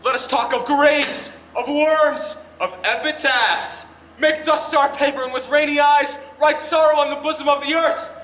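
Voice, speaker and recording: An actor playing an ambitious, quick-tempered playwright who speaks with sarcastic, theatrical flair. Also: male voice, very high voice, fairly even intonation, bright, forceful tone, heavily acted, poor audio. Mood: excited. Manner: sarcastic, theatrical, mock-grand declaiming. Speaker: lead actor in a musical. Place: theater stage.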